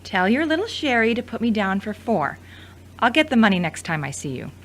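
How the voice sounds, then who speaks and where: A woman's voice: on the high side, sing-song, a little fast, a little hiss or hum. A woman playing an irritated, defensive, jealous woman in an audio drama, indignant and sarcastic. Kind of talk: scripted audio drama acting.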